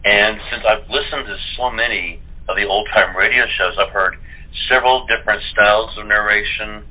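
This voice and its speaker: Deepish guy chatting in an interview, muffled phone sound. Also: steady tone, moderate pace. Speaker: voice actor guest playing a narrator. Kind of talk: casual interview chat. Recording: muffled telephone-quality line.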